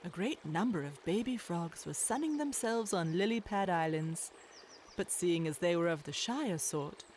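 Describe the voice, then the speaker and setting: A woman reading scripted storybook narration in a measured, expressive storytelling manner. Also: lowish voice, a little hiss or hum.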